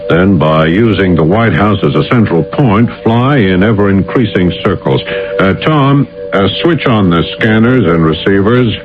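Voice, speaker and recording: Deep male official, gruff and in command, animated delivery, vintage radio drama sound. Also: normal speed, phone-quality sound. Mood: brisk, commanding. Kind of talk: 1980s radio drama acting. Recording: band-limited old radio broadcast with music.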